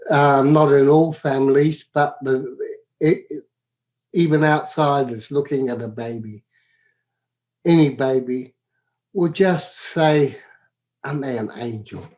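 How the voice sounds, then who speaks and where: A man talking as a podcast guest in a reflective, philosophical way, halting and often repeating his words. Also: medium pitch, darkish tone. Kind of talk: reflective, spiritual casual talk.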